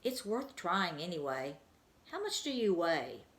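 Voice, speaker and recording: A woman's voice: on the low side, very animated, a little slow. A woman voicing a small female bird who sounds eager and practical, heard in an echoey room.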